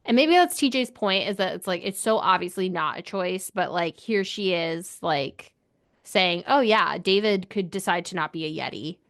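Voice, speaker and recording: A woman's voice: lowish voice, lively intonation, normal speed, fairly bright tone. A woman co-hosting a podcast, chatting casually about a book in an informal, opinionated and incredulous way. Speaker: book club podcast co-host. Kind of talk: casual book discussion chat.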